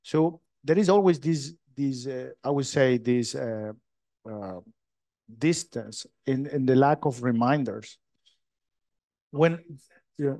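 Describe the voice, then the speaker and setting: A man from Chile with a Chilean Spanish accent, answering audience questions after a lecture, halting and restarting often. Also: mid-range voice, dark tone, dry recording. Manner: halting, many restarts, non-native phrasing. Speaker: lecturer in structural design.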